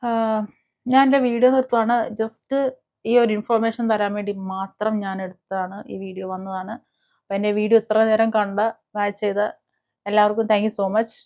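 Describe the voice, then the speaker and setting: A woman hosting a commentary video, talking casually and with strong opinions in a halting, emphatic way. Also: medium pitch, steady tone, a little mellow. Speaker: host of a gossip and commentary video channel.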